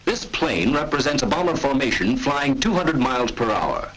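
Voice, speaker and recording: A man's voice: mid-range voice, very animated, normal speed, fairly bright tone, slightly rough, rough recording. An American man narrating a 1940s military training film in an authoritative, clipped, instructional manner, on an old film soundtrack.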